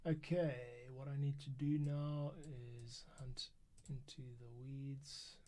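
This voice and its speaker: A man's voice: medium pitch, level tone, takes it slowly, a little hiss or hum. A man thinking aloud in casual commentary as he edits, muttering to himself. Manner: muttering to himself, humming and counting along. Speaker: podcast editor and host. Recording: loud music over the voice. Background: loud music, keyboard typing.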